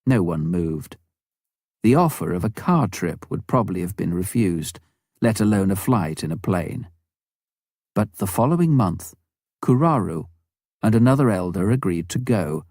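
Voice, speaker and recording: A man reading a missionary story as an audiobook narrator, with a measured storytelling delivery. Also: low voice, lively intonation, a little mellow, no echo, clean studio sound.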